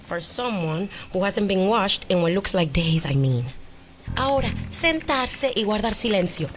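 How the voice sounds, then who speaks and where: An actress playing a brash, sarcastic young hacker, snappy and blunt, in an audio drama. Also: female voice, mid-range voice, animated, moderate pace, lightly acted. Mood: sarcastic, teasing.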